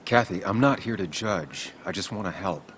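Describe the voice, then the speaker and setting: An actor playing a gentle, weary, consoling man in a dramatic video game, speaking in a measured and reassuring way. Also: sits low, lively intonation, slight background noise. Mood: calm, concerned.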